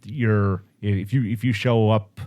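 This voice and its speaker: A man's voice: lowish voice, fairly even intonation, slow pace, a little mellow. A man co-hosting a podcast in casual chat, with dry humor and a hesitant habit of repeating words. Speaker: podcast co-host commenting on the reading.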